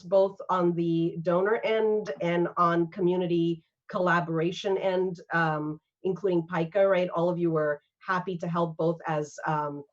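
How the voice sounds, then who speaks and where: A woman from Singapore, an arts fund organizer, speaking earnestly and from the heart in a panel conversation over a video call. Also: on the low side. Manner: earnest, heartfelt, with frequent fillers. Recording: dull lo-fi video call audio.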